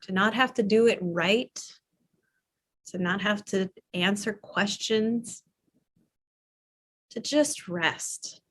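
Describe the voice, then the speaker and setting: A woman teaching a yoga nidra class, talking softly and soothingly with long pauses as she guides a guided relaxation. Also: mid-range voice. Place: live online or studio class.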